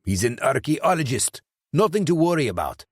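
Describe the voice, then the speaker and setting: A man's voice: on the high side, sing-song, moderate pace, husky, no echo, studio quality, voice pushed up, heavily acted. A man voicing a nervous, blustering male hired killer who stumbles defensively through his explanations.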